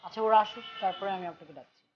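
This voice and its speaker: Man acting a high-pitched, hapless lovelorn student. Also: rapid-fire, a little mellow, dull audio, slight background noise, natural voice. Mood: awkward. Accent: Bangladeshi. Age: young adult. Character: short, lovelorn young student, hapless and awkward. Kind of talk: Bangla web drama acting.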